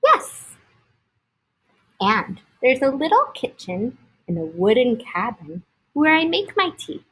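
A woman's voice: a little high, sing-song, slow, a bit bright, breathy edge, full character voice, rough recording. A woman voicing a weary, polite traveling animal in a storybook, hesitant and earnest. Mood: wistful.

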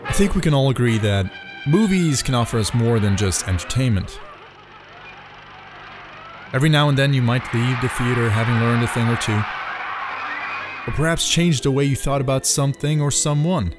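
A man's voice: medium pitch, moderate pace, long pauses. A man narrating a scripted video essay as its host, in a conversational, reflective way. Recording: music under the voice. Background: background music.

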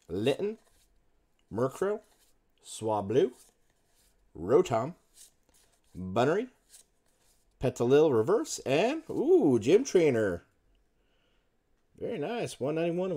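A man in his thirties talks slowly over a desk mic at home, his pitch jumping and dipping a lot as he reads off one card after another. Wrapper crinkles rustle behind him. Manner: lists card names in a running murmur, brief excited outbursts. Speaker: card-opening video host. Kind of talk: casual unboxing commentary.

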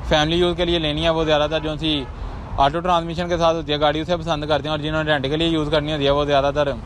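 A man with a Pakistani Urdu accent walks an outdoor used car market, listing prices and features in brisk salesman patter. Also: a little high, level tone. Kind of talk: walk-around car market vlog. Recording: noisy outdoor street recording.

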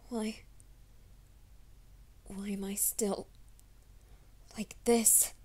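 A woman's voice: mid-range voice, level tone, very slow, husky. Woman voicing a whispering young werewolf man. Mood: tense whisper. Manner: mostly hushed, urgent whispering to himself. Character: young male werewolf soldier, anxious and secretive. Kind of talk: ASMR roleplay audio.

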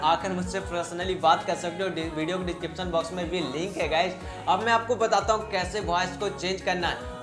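Young man, high-pitched, informal video tutorial host, repetitive viewer address, echoey room, music behind. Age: 20s. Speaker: YouTube host, gaming tech tutorial presenter. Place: small indoor room. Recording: roomy echo, faint music behind.

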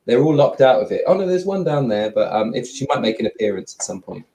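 A man in his forties, a guest on a video call from his living room, answering in a relaxed, rambling way. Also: medium pitch, vocal fry. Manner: relaxed, rambling, quick to laugh. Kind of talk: casual interview answers.

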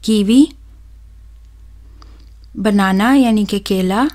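A young woman, hosting a cooking channel and talking viewers through a recipe in a steady, instructive voice-over. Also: medium pitch, extremely slow. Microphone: voice-over, close mic.

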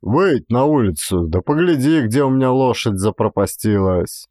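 A man voicing a sedate, dignified and mighty peasant in an audiobook, with a drawn-out, staid delivery. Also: medium pitch, animated, darkish tone, no room sound, studio quality, close to an everyday voice. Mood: unhurried, staid.

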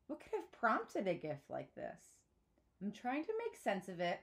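A woman in her thirties narrating a children's book expressively, like a teacher reading aloud. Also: mid-range voice. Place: small indoor room at home.

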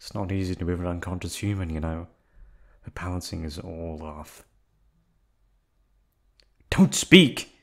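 Male actor doing a low, slow-talking yandere receptionist, silky courtesy over menace, close-mic whispers. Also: fairly even intonation. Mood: angry. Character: obsessive male yandere hotel receptionist kidnapper. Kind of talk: ASMR roleplay audio drama.

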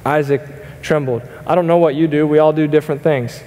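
A young man preaching from a church stage in an earnest, conversational way, full of fillers and restarts. His voice sits a little high. The sound carries the long reverb of a large hall. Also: fairly even intonation, a little fast, dark tone. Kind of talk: sermon. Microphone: stage mic, wide camera.

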